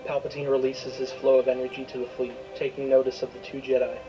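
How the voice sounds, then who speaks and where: A man's voice: mid-range voice, monotone, quick pace, muffled recording. A man reading a scripted, dramatic story as its narrator. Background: prominent music.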